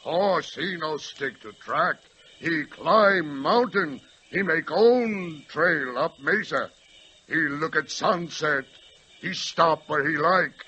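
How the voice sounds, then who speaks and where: A man's voice: on the high side, takes it slowly, pushed and bright, husky, heavily acted. An actor playing a stolid, dreamy male train who speaks broken English in short phrases, in 1940s radio style. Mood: wistful, solemn. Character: stolid male train called Chief, dreaming of being horse.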